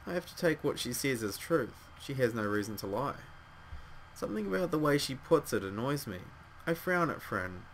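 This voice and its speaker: A hoarse man reading a visual novel aloud as narrator, wry and adding asides. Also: medium pitch, fairly bright tone, slight background noise, rough recording. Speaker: let's play narrator.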